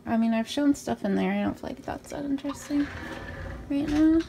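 A young woman with a raspy morning voice, chatting casually through a tour of her small bedroom, filmed on a handheld phone. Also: high voice, normal speed, warm tone, a little hiss or hum. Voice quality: raspy from just waking up. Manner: chatty, upbeat, many ums and likes. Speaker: vlogger. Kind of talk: casual room tour vlog. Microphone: handheld phone camera.

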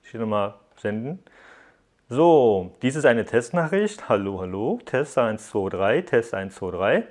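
A man's voice: medium pitch, big pitch swings, unhurried, a little mellow. A man narrating a casual hands-on app review, explaining things step by step and addressing viewers directly.

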